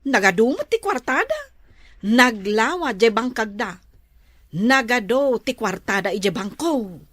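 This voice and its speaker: A woman's voice: fairly high pitch, sing-song, measured pace, fairly bright tone, dry recording, heavily acted. A woman playing an eager, deferential village street sweeper with an Ilocano accent in a comedy drama. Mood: pleading.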